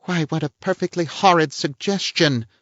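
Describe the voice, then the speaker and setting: A man's voice: normal speed, a bit bright, no room sound, lightly acted. A man voicing a haughty, theatrical middle-aged woman, huffy and affected in manner. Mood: indignant. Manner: shrill, huffy, affected gentility. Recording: dull lo-fi, dry.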